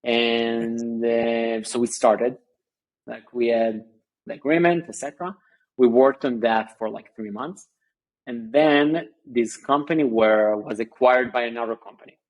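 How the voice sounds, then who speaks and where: A man, a guest chatting on a video call in a halting way with many fillers and restarts. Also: mid-range voice, steady tone, poor audio. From Israel. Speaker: guest founder. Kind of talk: casual interview chat.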